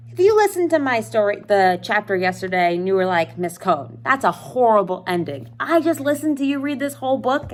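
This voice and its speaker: Animated, high-voiced woman teacher. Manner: encouraging, instructional, with rhetorical questions; informal, addresses listeners directly. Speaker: schoolteacher. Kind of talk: explaining an assignment to young students.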